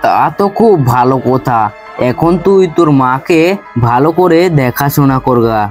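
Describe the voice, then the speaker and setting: A man voicing a kind, trusting, humble younger brother from a village in an animated folk tale, earnest and mild. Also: mid-range voice, normal speed, soft background music, close to an everyday voice. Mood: caring.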